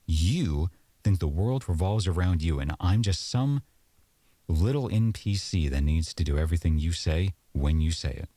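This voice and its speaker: Man acting a very deep-voiced, fed-up boyfriend. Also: expressive, moderate pace, warm tone, no echo. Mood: indignant. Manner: accusatory, exasperated, scornful. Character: aggrieved, sarcastic boyfriend in a heated domestic argument. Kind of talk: boyfriend ASMR audio roleplay.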